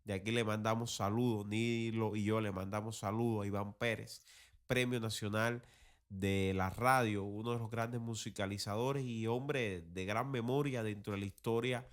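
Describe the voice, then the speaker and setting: A man's voice: fairly low pitch, steady tone, normal speed. A man with a Cuban accent hosting an informal, explanatory talk, discursive and didactic with emphatic points. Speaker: podcast host.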